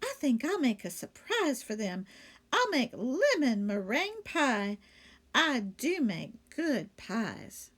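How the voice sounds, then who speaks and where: Storybook housemaid played by a woman: high-voiced, earnest, folksy, exclaiming to herself, very animated. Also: slow pace, full character voice. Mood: pleased. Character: cheerful, earnest, literal-minded housemaid. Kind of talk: children's storybook read-aloud character voice.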